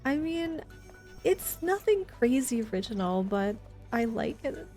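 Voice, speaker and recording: A woman's voice: on the high side, very animated, unhurried, a little mellow, soft background music. A woman chatting informally and playfully with viewers while she streams a video game. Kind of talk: casual live gaming chat with viewers.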